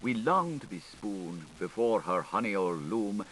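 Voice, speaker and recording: A man reading an unabridged literary audiobook with theatrical, sing-song delivery that swoops suddenly up and down in pitch and loudness. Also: big pitch swings, unhurried, slight background noise. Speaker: audiobook reader. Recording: 1985 studio audiobook recording.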